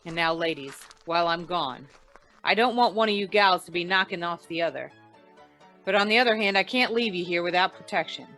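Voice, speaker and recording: Noir radio detective, played by a woman: lowish register, dry, wry, clipped, hard-boiled, with music in the mix. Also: brisk, sharp tone. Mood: commanding, wary. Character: hard-boiled, wisecracking freelance insurance investigator narrating a case. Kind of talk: old-time radio noir acting.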